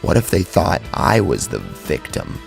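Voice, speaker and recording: A man's voice: deep voice, wildly swooping pitch, unhurried, gravelly, faint music behind. A man narrating a first-person horror story in a theatrical, suspenseful way.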